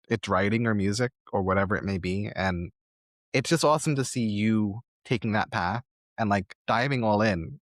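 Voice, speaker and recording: A man's voice: fairly low pitch, no echo, studio quality. A man hosting a casual interview, speaking in an encouraging, affirming conversational way. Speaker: podcast host, interviewer.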